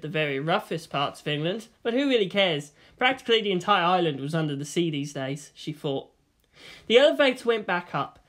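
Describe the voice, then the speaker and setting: A woman narrates a scripted story in audiobook style. Her voice is a little low for a woman.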